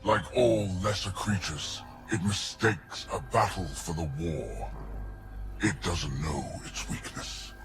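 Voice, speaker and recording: A man voicing a coldly fanatical alien overlord in a video game, grandiose and deliberate, with a breathy undertone. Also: sits low, over music. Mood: cold menace. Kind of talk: video game villain acting.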